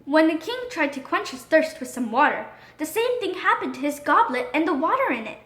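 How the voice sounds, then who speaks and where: Female narrator for children's storybook, high voice, very expressive and dramatic, recorded in an echoey room. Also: fairly quick. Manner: expressive, dramatic read-aloud delivery. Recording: roomy echo.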